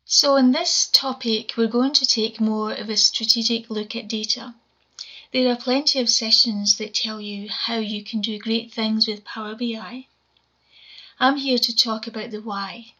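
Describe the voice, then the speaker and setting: A woman in her forties with a Scottish accent and a quiet voice, giving a measured conference talk from home over a webcam. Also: fairly high pitch, fairly even intonation. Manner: measured, explanatory presenting. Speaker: conference speaker. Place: home room, indoors. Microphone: webcam video call. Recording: dull lo-fi webcam audio.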